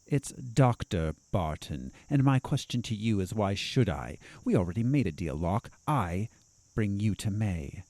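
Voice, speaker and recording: An actor playing an ambitious, scheming doctor in an audio drama, measured, pointed and self-assured. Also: male voice, fairly low pitch, big pitch swings, darkish tone, no room sound. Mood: assertive bargaining.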